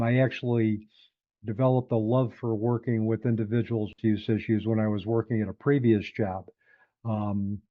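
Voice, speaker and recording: A man in his sixties with an upstate New York accent, a guest talking through his working life in a measured, thoughtful way. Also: fairly low pitch, no echo. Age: 60s. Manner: measured, thoughtful, with small restarts. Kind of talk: reflective interview conversation. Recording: tinny phone-like line.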